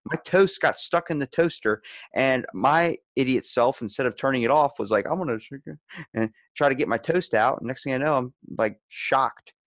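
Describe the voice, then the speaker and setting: A man's voice: medium pitch, normal speed, a bit bright, no room sound. A man in a casual podcast chat, rambling as host and animatedly telling stories, acting out the lines he quotes.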